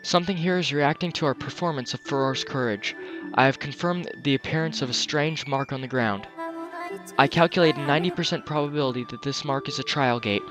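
A man's voice: mid-range voice, a little fast, poor audio. A man on a headset commenting on a video game, reading on-screen dialogue aloud with stumbles and jokes. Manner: joking, stumbles and restarts while reading. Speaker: let's play commentator. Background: game music.

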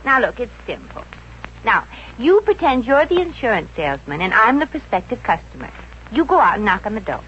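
An actress playing a brash, brisk, bossy but soft-hearted working girl in a 1950s radio comedy. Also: fairly high pitch, sing-song. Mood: bossy, coaxing. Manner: brisk, wisecracking. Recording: dull, hissy old radio recording.

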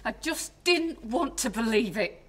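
An actress playing a frightened, defiant estranged wife in a radio drama, speaking with urgent command. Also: female voice, on the high side, expressive, measured pace, slightly rough. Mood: accusing.

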